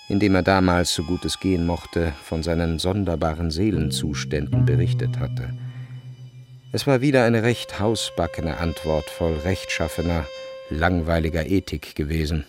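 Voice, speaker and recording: A man reading literary narration for a radio play, with deliberate, dramatic phrasing. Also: sits low, music in the background.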